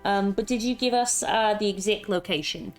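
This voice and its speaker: A woman's voice: mid-range voice, moderate pace, soft background music. A woman with a stuffy, congested voice playing a tabletop game, talking in a hesitant, giggly way. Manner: hesitant, lots of fillers, giggly. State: congested from a cold. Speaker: player. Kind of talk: casual tabletop roleplay chat.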